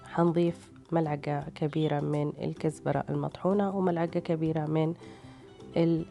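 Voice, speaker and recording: A woman's voice: deep voice, steady tone, moderate pace, creaky, dark tone. A woman with a tired voice, narrating recipes as a cooking host in a casual, hesitant way. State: tired, strained voice. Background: background music.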